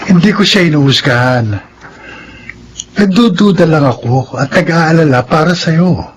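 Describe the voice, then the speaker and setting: A man acting an earnest, protective young suitor in a Filipino radio drama, speaking deliberately with pauses. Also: on the high side, animated, a little hiss or hum, natural voice. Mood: apologetic, concerned. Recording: radio drama with background music.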